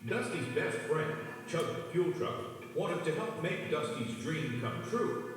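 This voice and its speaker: A man's voice: a little high, sing-song, takes it slowly. A man reading scripted narration for a children's read-along storybook. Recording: echoey, distant, long reverb, music behind.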